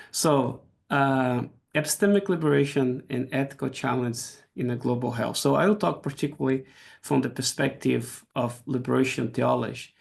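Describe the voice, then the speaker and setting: Brazilian-accented man, middle-aged, gravelly voice, halting lecture delivery on a video call. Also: mid-range voice, steady tone. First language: Portuguese. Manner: halting, frequent restarts and repeated words. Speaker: guest speaker. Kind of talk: academic seminar lecture.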